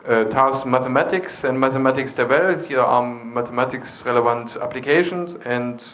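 Man chairing a casual discussion round, middle-pitched voice, halting delivery, frequent fillers, restarts his sentences. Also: fairly bright tone. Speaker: session moderator.

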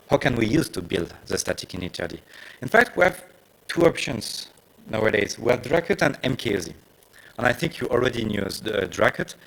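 French guy giving a tech conference talk, lowish gravelly voice, lots of uh's. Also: rough recording. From France. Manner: hesitant with frequent uh fillers, non-native phrasing. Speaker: conference speaker. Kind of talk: technical presentation.

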